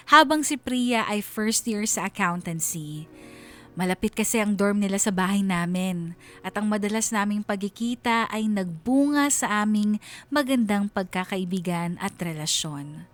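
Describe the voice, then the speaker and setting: A Filipino woman narrates a scripted radio story. Her voice sits at a medium pitch, and music plays softly behind her storytelling. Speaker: radio drama narrator reading a listener's story. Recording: music bed under the voice. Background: background music.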